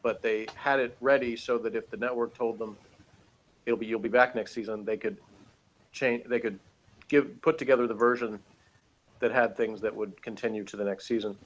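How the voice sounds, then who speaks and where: A man's voice: on the low side, steady tone, normal speed, slight background noise, rough recording. A man co-hosting a show, chatting casually and giving his opinions as a fan. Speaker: podcast co-host.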